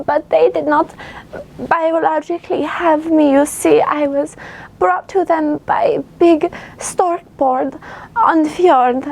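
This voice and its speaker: A woman voicing a quirky young Icelandic design student, telling absurd stories in a deadpan, earnest way with an Icelandic accent. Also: high voice, creaky, heavily acted, low-quality recording. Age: young adult. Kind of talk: comedic character monologue.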